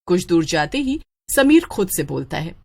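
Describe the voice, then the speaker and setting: A woman narrating a scripted horror story in a dramatic, expressive way. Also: fairly low pitch, moderate pace, gravelly, husky.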